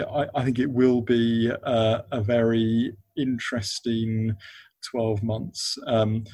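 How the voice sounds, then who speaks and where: A man with a mellow, flat-toned voice speaks as an expert guest on a finance podcast. He hesitates and repeats words while thinking through his answers, in a dry, close recording. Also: mid-range voice, dry recording. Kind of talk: unscripted expert interview answers.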